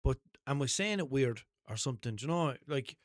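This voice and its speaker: A man's voice: medium pitch, animated, a little fast, no echo, studio quality. A young man with a mild Limerick Irish accent hosting a podcast, rambling through an unscripted monologue, second-guessing himself and swearing casually. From Ireland. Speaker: podcast host. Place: small room at home, seated in a desk chair.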